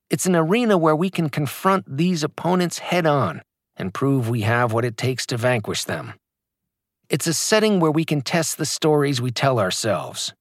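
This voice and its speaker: A man's voice: mid-range voice, dry recording, studio quality. A man reading his own fitness audiobook aloud, emphatic and motivational in delivery. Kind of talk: scripted audiobook narration.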